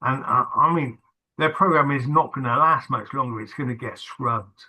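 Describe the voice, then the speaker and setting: Male host, casual talk, bright tone, halting and filler-heavy. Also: mid-range voice, low-quality recording. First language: not English. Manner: hesitant, many fillers and restarts. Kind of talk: casual video-call chat.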